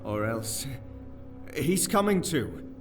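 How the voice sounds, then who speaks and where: A young nobleman in a fantasy audio drama, over a prominent music bed. His delivery is slow and theatrical, swinging widely in pitch, with anxious, eloquent, old-fashioned phrasing. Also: sing-song. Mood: tense, commanding.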